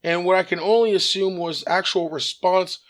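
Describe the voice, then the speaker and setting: A man with a raspy voice hosting his own news commentary show, earnest and measured, with frequent "uh" fillers. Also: high-pitched, steady tone, fairly bright tone.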